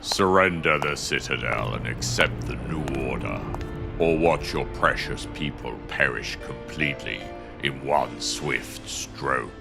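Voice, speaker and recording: A man playing an ancient, gloating vampire tyrant in an audio drama, with a gravelly voice and slow, grandiose delivery. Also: rumbling low, over music, heavily acted. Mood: cold threatening. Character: ancient vampire Time Lord tyrant, male, menacing and gloating.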